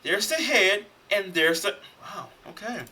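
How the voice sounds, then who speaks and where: An American man hosting a reaction video, reading text aloud and commenting on it in an animated way, repeating phrases for emphasis. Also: sits high, unhurried, husky.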